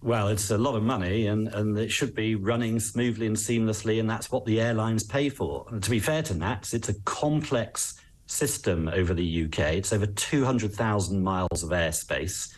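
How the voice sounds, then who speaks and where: Low-voiced English man, measured expert commentary. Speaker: travel expert, interview guest. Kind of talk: news interview commentary.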